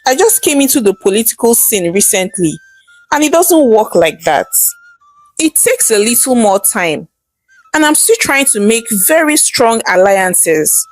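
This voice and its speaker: An easygoing, affectionate husband voiced by a female narrator explains patiently with expressive intonation. It is storybook dialogue with faint music underneath. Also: fairly high pitch, a little slow, low-quality recording. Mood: calming, patient. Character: calm, easygoing husband, aspiring politician.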